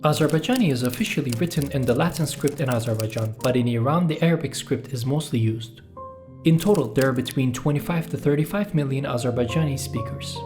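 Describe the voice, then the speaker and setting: A man with a Turkish accent, a native Turkish speaker, reading a scripted explainer narration in a measured, steady way. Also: mid-range voice. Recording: music bed under the voice.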